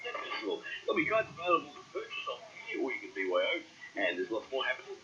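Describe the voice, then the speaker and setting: A man presenting on a radio broadcast in a lively announcing manner, heard from an old radio. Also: mid-range voice, fast talker, a little hiss or hum. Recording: distant radio speaker playback, lo-fi.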